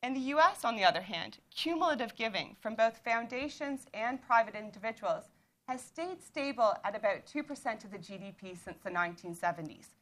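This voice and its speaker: A woman's voice: a little high, animated, a bit bright. Canadian woman giving an explanatory talk. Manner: measured, explanatory, with occasional restarts. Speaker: author and panel speaker. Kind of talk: lecture-style talk.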